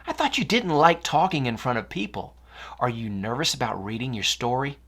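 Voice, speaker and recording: A woman's voice: rumbling low, sing-song, a little fast, husky, heavily acted. A woman voicing an anxious, discouraged young schoolboy in a children's story, hesitant and unsure of himself. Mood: curious. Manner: glum, hesitant.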